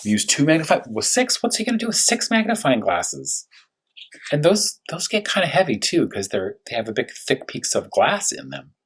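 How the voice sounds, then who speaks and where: A man who teaches first grade, talking through a read-aloud story for young children, thinking aloud with questions and slowing to stress key words. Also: on the high side, wildly swooping pitch, a bit bright, husky.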